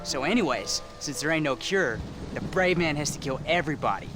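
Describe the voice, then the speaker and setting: An actor playing a bookish American teenage son on the frontier, dry and teasingly sarcastic. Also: male voice, a little high, expressive, a little fast, loud music behind the voice, natural voice. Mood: earnest, hesitant. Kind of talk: video game cutscene acting.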